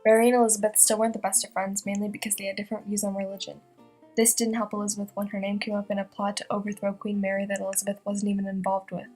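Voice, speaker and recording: Casting: young woman, newsreel-style reporter, fast even read with little pitch movement, guitar music underneath. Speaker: student presenter playing a news reporter. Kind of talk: scripted newsreel narration.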